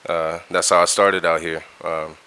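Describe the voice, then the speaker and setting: A middle-aged man speaks in a very low, resonant voice. He talks in a relaxed, slangy way, looping back over words as he goes. His tone is forceful and crisp. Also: normal speed. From California, United States. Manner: laid-back and slangy, repeats words and restarts. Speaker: music producer guest. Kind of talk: casual interview talk.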